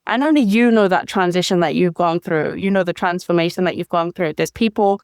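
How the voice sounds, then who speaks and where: Woman, podcast host, smooth clear voice, effusive and engaged, dry recording. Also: on the low side, fairly quick, dry recording. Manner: warm, emotionally engaged, effusive. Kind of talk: interview conversation.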